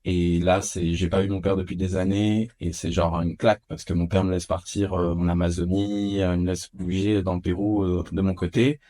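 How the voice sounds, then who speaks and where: A man in his thirties from France, a podcast guest telling stories of his youth in a casual, animated way with many hesitations. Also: moderate pace, few pauses. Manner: animated, lots of filler hesitations. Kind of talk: casual storytelling. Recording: dull low-fidelity podcast audio.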